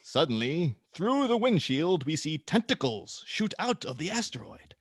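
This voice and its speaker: A man hosting and narrating a script read-through in a casual, somewhat halting manner. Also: fairly high pitch, very animated, breathy edge, no room sound, clean studio sound. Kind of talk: casual introduction, then reading screenplay directions aloud.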